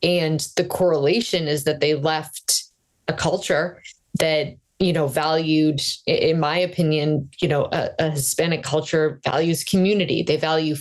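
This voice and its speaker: Lower-voiced woman host, thoughtful and emphatic. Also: steady tone, moderate pace. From Italian heritage. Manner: thoughtful, emphatic, rephrasing as she goes. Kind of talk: conversational interview.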